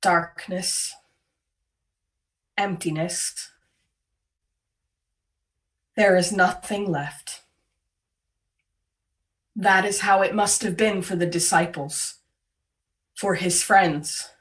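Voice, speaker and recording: A woman leading worship, reading prayers and reflections gravely and deliberately in short, weighted phrases over a video call. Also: on the low side, steady tone, distant mic. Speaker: worship leader. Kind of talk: solemn scripted prayer and reflection.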